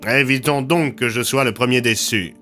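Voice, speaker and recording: A man's voice: medium pitch, moderate pace, bright, forceful tone, close to an everyday voice. A man playing a formal, authoritative official in an audio drama, with precise diction, over music. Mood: stern, commanding. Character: formal male commanding official, curious, authoritative.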